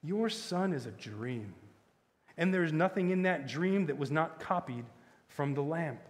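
A man voicing a cunning witch queen in a children's fantasy audiobook, soft and cooing, with slow, silky, condescending courtesy. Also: a little high, expressive, big-room sound, voice pushed up, close to an everyday voice. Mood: coaxing, mocking.

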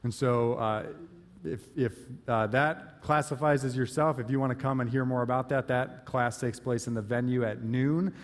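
A man, an outreach pastor, giving casual church announcements with frequent pauses and fillers. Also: medium pitch, steady tone. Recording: long reverb of a church hall.

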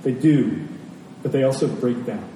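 Mellow male preacher in an echoey hall. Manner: earnest, emphatic, pauses and repeats phrases for weight. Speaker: pastor preaching. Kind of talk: sermon. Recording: echoey hall, distant mic, faint rumbling noise.

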